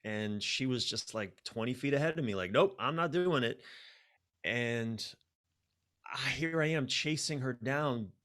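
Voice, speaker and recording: A man's voice: mid-range voice, measured pace, fairly bright tone. A man speaking as a podcast guest, telling personal stories candidly and self-deprecatingly, acting out his inner dialogue. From United States. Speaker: podcast guest, relationship coach. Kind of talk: personal storytelling in a conversational interview.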